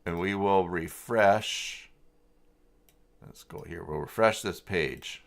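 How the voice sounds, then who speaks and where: A man hosting a casual live software tutorial, thinking aloud in an informal, unscripted way as he works through the steps. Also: low voice, slow pace. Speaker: livestream host and software tutor.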